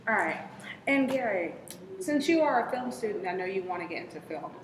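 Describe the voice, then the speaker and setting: Lively-pitched woman interviewer in echoey room. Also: fairly quick. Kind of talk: casual interview questions. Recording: echoey room with faint noise.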